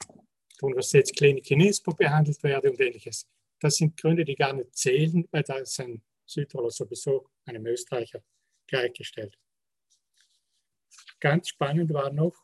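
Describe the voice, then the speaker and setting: Man, fairly high voice, husky, sociologist, measured explanations, quoting percentages. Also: darkish tone. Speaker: sociologist presenting survey research. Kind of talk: panel talk presenting study results.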